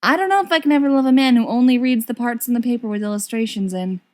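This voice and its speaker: A woman's voice: a little high, fast, darkish tone, dry recording, pitched above the performer's own voice, close to an everyday voice. A woman voicing a distracted, dryly teasing young man in audiobook-style dialogue. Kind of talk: audiobook-style dialogue reading of fan fiction.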